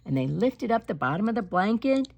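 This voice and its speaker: Animated woman reading a children's storybook. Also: medium pitch, fairly quick, gravelly. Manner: dramatic, emphatic storytelling. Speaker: storybook reader. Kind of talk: animated read-aloud children's narration.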